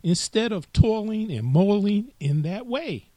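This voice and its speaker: A man's voice: sits high, animated, a little slow, dark tone, no room sound, pitched above the performer's own voice. A man voicing a carefree, chatty storybook grasshopper with a breezy, coaxing manner.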